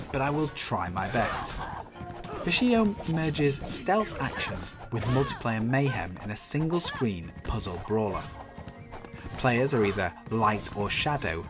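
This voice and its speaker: Stuffy-nosed man narrating a lively game roundup. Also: medium pitch. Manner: enthusiastic, upbeat review delivery. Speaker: games channel host. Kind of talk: scripted voice-over game roundup.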